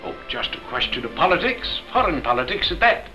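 A man's voice: on the high side, sing-song, fairly quick, bright, forceful tone, rough recording. A middle-aged actor playing an English pub darts skipper and father, jovial and a bit patronising, in a 1940s film. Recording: 1940s film soundtrack with music behind.

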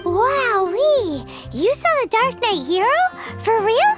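A woman voicing a tiny, excitable, cheeky fairy girl companion in an anime game, squeaky and childlike. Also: slow, over loud music, heavily acted. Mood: chirpy, curious. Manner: exclamatory, cheeky, refers to herself by name. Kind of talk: anime video game voice acting.

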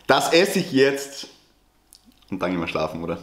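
A man's voice: mid-range voice, big pitch swings, moderate pace. A man in his twenties chatting casually in a home vlog as a fitness coach, dropping English gym jargon into his speech. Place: home kitchen and dining area.

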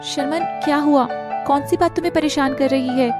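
A woman voicing a confident, coaxing young modern college woman with an Indian accent in an animated story. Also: high voice, fairly quick, over loud music, natural voice. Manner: assertive, coaxing, mixes English into Hindi.

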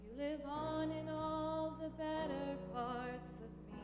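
A woman in her thirties or forties singing a slow worship ballad into a handheld microphone in a church. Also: high voice, steady tone. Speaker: singer at a funeral service. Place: large church sanctuary. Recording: echoey church, loud music behind.

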